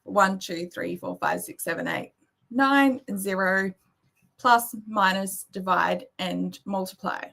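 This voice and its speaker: A woman's voice: medium pitch, slow, vocal fry, a bit bright. An Australian woman giving an earnest slide presentation, explaining step by step with frequent ums. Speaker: guest presenter. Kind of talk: slide presentation to an online summit.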